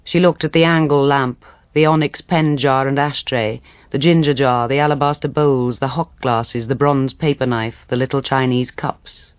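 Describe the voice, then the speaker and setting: A man reading a literary story aloud as dramatic audiobook narration, measured and expressive. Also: medium pitch, smooth, dry recording, highs cut off. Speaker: audiobook reader.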